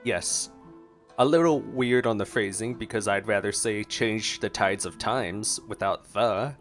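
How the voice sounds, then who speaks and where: A man's voice: fairly low pitch, unhurried, soft background music. A man doing casual reaction commentary as a gaming streamer.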